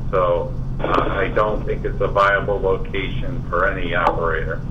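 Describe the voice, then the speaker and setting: A man on a conference call giving a committee report, halting and correcting himself with many fillers. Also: sits low, little pitch movement. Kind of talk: casual meeting talk on a conference call. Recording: muffled conference call with faint hiss.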